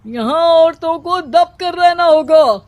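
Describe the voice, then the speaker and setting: Domineering cartoon village headman, played by a man; extremely high voice, commanding, mocking, self-important. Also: slow pace. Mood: stern, commanding. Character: stern, domineering village headman who oppresses women. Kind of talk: Hindi cartoon character acting.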